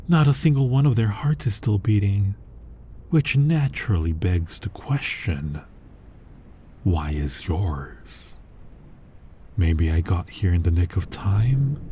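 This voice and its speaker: A man acting as a menacing, centuries-old vampire hunter in an intimate ASMR roleplay, husky and breathy, commanding and often close to a whisper. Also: sits low, warm tone, exaggerated voice. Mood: grim, probing. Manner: commanding, interrogating, taunting with slow pauses. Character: centuries-old male vampire hunter, menacing, dominant, suspicious, inhumanly restrained. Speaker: voice actor in an audio roleplay.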